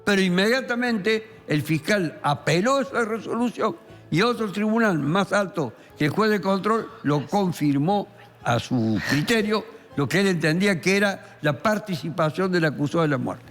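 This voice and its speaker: An Argentine man, a criminal lawyer and columnist, telling a court case in a deliberate, theatrical way with emphatic pauses. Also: high voice, animated, a bit bright. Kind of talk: dramatic storytelling of a court case. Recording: long reverberant hall sound, faint music behind.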